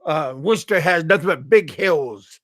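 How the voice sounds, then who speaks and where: A middle-aged American man chatting casually on a live stream, halting and repeating his words. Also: a little high, expressive, fairly bright tone, dry recording, clean studio sound. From USA. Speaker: livestream host.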